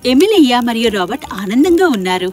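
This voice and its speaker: Lively, high-voiced woman storyteller. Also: brisk, soft background music. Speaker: narrator. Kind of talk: scripted children's story narration.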